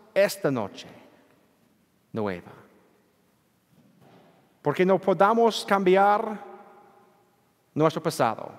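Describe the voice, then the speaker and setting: A priest, a native English speaker, preaches with a high, highly animated voice that rises and falls widely. He talks very slowly and earnestly, breaking into self-deprecating chuckles. His voice rings with the long reverb of a church hall. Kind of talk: bilingual homily. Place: church during evening Mass. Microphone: church sound system, livestreamed.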